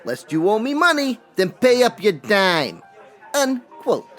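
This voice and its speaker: A man's voice: sits high, sing-song, unhurried, a bit bright, exaggerated voice. A man playing a genial, folksy bartender in an old-time radio style comedy drama, cheery and corny. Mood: genial, teasing.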